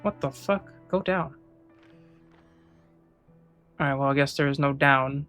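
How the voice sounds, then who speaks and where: A man's voice: medium pitch, measured pace, fairly bright tone, soft background music. A man giving casual, sweary commentary as he plays a game, grumbling and sarcastic. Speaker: game streamer.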